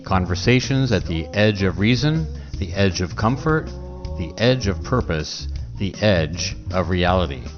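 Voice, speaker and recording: A man's voice: low voice, lively intonation, a little slow, muffled recording, over music. A man hosting a podcast, introducing the show and talking conversationally with a guest. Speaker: podcast host.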